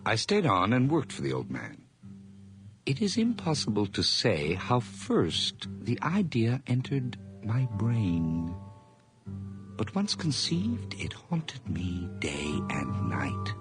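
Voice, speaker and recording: A man playing a nervous, haunted madman drifter in a 1980s radio horror drama, halting and theatrical, dropping into whispers. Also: lowish voice, huge pitch swings, over music, full character voice. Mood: haunted, brooding. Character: nervous, haunted madman drifter and farmhand telling his tale.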